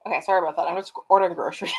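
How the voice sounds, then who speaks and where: Low-voiced Canadian woman, thoughtful. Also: very fast, breathy edge. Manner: reflective, hesitant with many ums. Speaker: guest writer. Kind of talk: casual video call chat. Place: home room on a video call.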